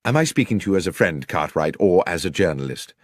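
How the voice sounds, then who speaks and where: A man with a British accent voicing a loyal, worried Victorian gentleman doctor in an audiobook, polite and earnest. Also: low voice, expressive, fast, no room sound, clean studio sound. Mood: guarded.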